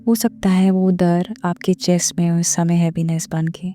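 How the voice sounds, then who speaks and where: A woman leading a guided meditation in a gentle, measured, soothing manner, with pauses between phrases. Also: on the low side, little pitch movement. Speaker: meditation guide and series host. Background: background music.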